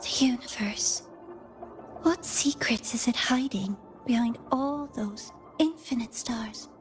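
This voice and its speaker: An actress playing an enigmatic, curious schemer in a video game, musing breathily. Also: female voice, a little high, slow pace, faint music behind. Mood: yearning, curious. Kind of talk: scripted video game dialogue.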